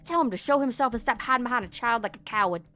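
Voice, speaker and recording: A woman's voice: a little high, very fast, pushed and bright. A woman voicing a sly, teasing, threatening law officer in a game drama fan dub. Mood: confrontational. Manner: taunting, assertive. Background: background music.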